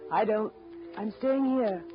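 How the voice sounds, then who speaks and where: A woman's voice: mid-range voice, slow pace, close to an everyday voice. An actress playing a stubborn, firm mother of about sixty in a 1930s radio drama. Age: 60s. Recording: dull vintage radio recording, music behind.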